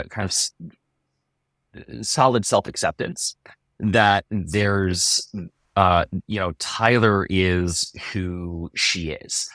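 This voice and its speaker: Male actor in interview, very low register, dry sound, halting conversational delivery. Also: fairly bright tone, no echo. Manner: halting, thinking aloud. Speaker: actor interviewed about his show. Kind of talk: casual panel chat.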